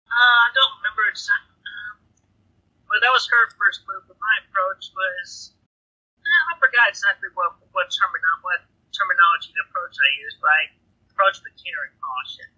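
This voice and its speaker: Male caller, husky and strained-bright, halting and rephrasing, lo-fi telephone sound. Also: high-pitched. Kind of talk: casual, halting answers on a call. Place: telephone call. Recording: dull lo-fi phone call.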